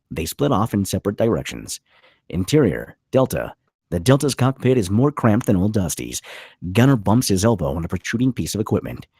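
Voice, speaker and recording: Man, low husky voice, lively range, reading screenplay action lines, close dry sound. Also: no room sound. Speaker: narrator reading screenplay stage directions. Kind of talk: scripted narration at a table read.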